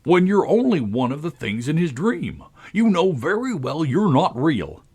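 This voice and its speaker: A man's voice: on the high side, huge pitch swings, a little slow, darkish tone, husky, voice pushed up, exaggerated voice. A man voicing a childish, quarrelsome, blustering twin from a storybook, with a contemptuous manner.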